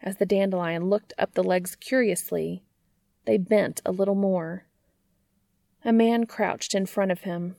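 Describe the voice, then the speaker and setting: A woman's voice: medium pitch, steady tone, a little slow, gravelly, mellow, no room sound, clean studio sound. A woman reading a scripted story aloud as a storybook narrator. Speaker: fan fiction reader and narrator.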